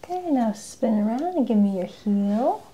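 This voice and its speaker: Soft, mellow woman doing ASMR role-play. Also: fairly high pitch, lively intonation, normal speed, husky, highs cut off. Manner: soft-spoken, often dropping into whispers, polite step-by-step instructions. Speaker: ASMR role-play performer playing a security patdown agent.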